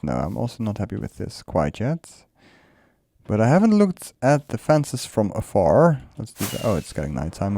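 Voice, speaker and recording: A man's voice: fairly low pitch, warm tone, no echo, clean studio sound. A man whose first language is Dutch, casually commenting while he builds in a game, musing aloud and laughing often. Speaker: let's play creator.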